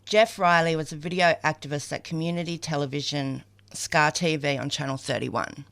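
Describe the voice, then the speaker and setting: A woman's voice: low voice, fairly even intonation, normal speed, creaky, fairly bright tone. An Australian woman reading scripted tributes as a podcast reader.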